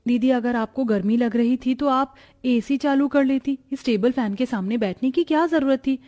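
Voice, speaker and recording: A woman's voice: high voice, moderate pace, mellow, natural voice. A woman playing a concerned younger sister in a cartoon family story. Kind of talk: cartoon moral story dialogue.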